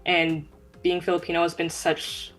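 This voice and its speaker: Young woman, low voice, earnest, informal interview talk, music behind. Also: completely flat delivery, normal speed, a bit bright, low-quality recording. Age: young adult. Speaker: guest, track sprinter. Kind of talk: conversational interview answers.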